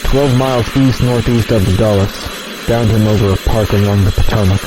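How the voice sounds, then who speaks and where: Man acting as low-voiced fighter pilot, engine noise. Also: fairly even intonation, brisk, lightly acted. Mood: grim. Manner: terse radio procedure. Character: conscientious, wary male military fighter pilot. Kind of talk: modern audio drama acting. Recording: radio chatter over engine noise.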